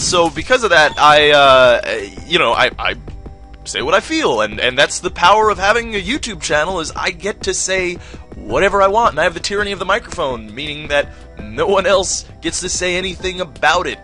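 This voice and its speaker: American man, gaming commentator, slightly high and very bright voice, rambling and defensive. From United States. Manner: rambling, defensive, lots of "like" fillers. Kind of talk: let's-play commentary.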